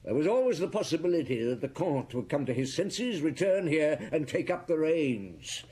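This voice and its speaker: An actor playing a shrewd, weary middle-aged police detective in a radio drama, speaking in a measured, reflective way. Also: male voice, a little high, big pitch swings, a little mellow, slightly rough. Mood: stern, probing. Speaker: lead actor in an audio drama. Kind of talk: radio drama acting, dialogue and reminiscence.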